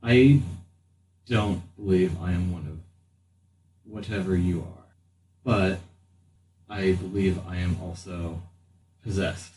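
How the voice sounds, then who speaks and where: Man playing measured, puzzled possessed patrolman Jin Hung. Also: low-pitched, a little mellow, recorded from a distance. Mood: puzzled, formal. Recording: echoey room, off mic, background noise.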